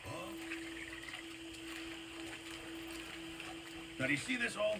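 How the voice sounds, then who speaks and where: Theatrical older adventurer, swooping voice. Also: male voice, high voice, very animated, rapid-fire, music in the background. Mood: cheerful teasing. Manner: theatrical, cajoling, boastful, playful. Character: jaunty older camp cook, ex-navy adventurer, foolhardy and theatrical. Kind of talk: video game character acting.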